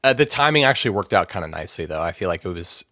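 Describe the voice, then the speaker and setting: A man's voice: deep voice, animated, racing, bright, forceful tone, no room sound. A man talking as a podcast guest in easygoing chat, rambling with fillers and restarts. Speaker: band vocalist, podcast guest. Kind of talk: casual interview chat.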